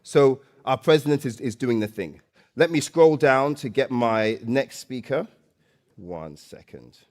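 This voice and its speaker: A man hosting a live stage event, loud and playful, coaxing the audience and often repeating phrases. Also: mid-range voice, animated, measured pace. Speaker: event host and MC.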